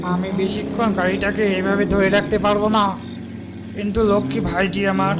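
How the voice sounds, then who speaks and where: A man's voice: sits high, heavy background noise, pitched above the performer's own voice, natural voice. A man voicing an irritable, bossy old man who is secretly a ghost, scolding and complaining in an audio horror story. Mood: urgent, pleading.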